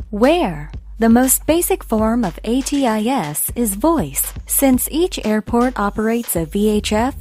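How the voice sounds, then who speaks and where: A woman narrates an educational explainer in a high voice with very animated intonation. She speaks deliberately and enunciates each phrase, with music playing softly behind her.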